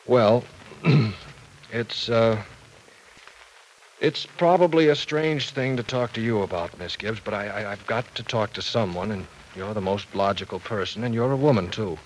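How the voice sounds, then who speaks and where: An actor in a vintage radio drama playing an earnest, awkward professor, polite and formal but hesitant. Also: male voice, fairly low pitch, a little slow, close to an everyday voice. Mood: troubled, exasperated. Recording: noisy old radio show recording.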